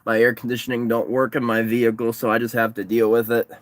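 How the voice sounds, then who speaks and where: A man's voice: mid-range voice, completely flat delivery, quick pace, no echo. Rambling, worn-out man. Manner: rambling, repeats himself, frequent restarts. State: exhausted, sunburned. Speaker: livestreamer, distance swimmer. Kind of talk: casual livestream chat reading comments. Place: parked car.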